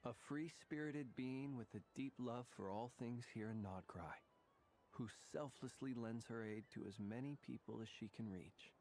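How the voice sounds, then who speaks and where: A man voicing a courtly, gallant gentleman in a video game, formal, measured and playfully courteous. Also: medium pitch, slight background noise, lightly acted.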